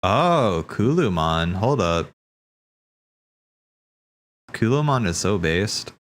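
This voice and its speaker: A man's voice: fairly low pitch, sing-song, takes it slowly. A man hosting a livestream, talking in a casual, slangy, rambling way as he thinks aloud. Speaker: livestream host. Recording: background music.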